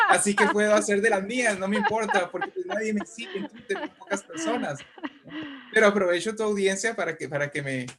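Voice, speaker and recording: A man talking casually as an invited guest in an interview, talkative and halting, often ending phrases with short tag questions. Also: sits high, lively intonation, background noise. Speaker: invited guest, writer and poet.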